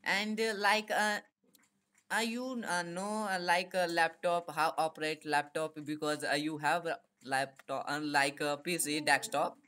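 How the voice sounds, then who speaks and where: A young man with an Indian accent chats casually on a phone video call, asking questions haltingly in simple, repetitive English. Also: a little high, fairly even intonation, sharp tone. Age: young adult. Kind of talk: casual chat with strangers.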